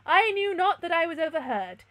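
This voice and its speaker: A woman's voice: very high-pitched, very animated, moderate pace, fairly bright tone, dry recording, voice pushed up, exaggerated voice. Woman putting on a earnest, melancholy young peasant man. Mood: melancholy, guarded. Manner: earnest, formal, plaintive. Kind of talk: gothic novel read-aloud.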